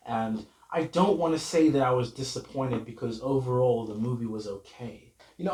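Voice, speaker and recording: A man's voice: mid-range voice, a little mellow. A young man, slightly ill, talking earnestly to camera about books and their film adaptations. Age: young adult. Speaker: vlogger. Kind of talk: casual to-camera book talk. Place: room at home with bookshelf. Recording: roomy echo, mic at a distance, faint music.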